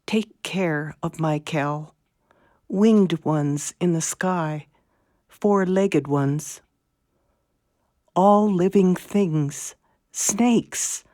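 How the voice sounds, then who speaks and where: A very slow, low-voiced grandmother. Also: a little mellow, no room sound, studio quality. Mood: pleading. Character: devout, protective elderly immigrant grandmother, earnest and loving. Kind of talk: audio drama acting.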